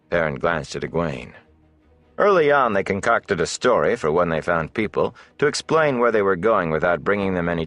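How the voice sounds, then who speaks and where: A man narrates a fantasy audiobook in a very low voice with a gravelly creak. His scripted reading moves with lively, varied intonation. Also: no room sound.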